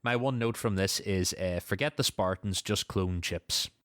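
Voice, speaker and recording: A man's voice: low-pitched, a little slow, a bit bright, no echo, studio quality. A man hosting a podcast, casually recapping and discussing a story with a co-host.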